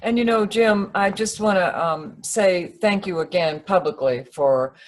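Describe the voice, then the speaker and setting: A woman's voice: medium pitch, measured pace, slight background noise, rough recording. A woman hosting a webinar over a video call, thanking her guest in a meandering way and laughing easily. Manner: warm thanks with many fillers and restarts, laughs easily. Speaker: webinar host. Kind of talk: webinar conversation.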